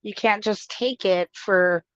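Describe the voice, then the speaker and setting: A woman's voice: medium pitch, slow pace, fairly bright tone, clear, resonant tone, no room sound, lo-fi sound. A woman co-hosting a casual live stream, upbeat and chatty, often saying "you know". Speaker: co-host.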